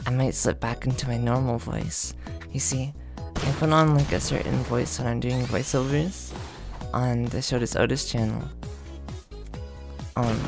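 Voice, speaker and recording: A man making a deadpan, mock-earnest comedy confession as a gaming video creator, in a halting delivery. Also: medium pitch, vocal fry.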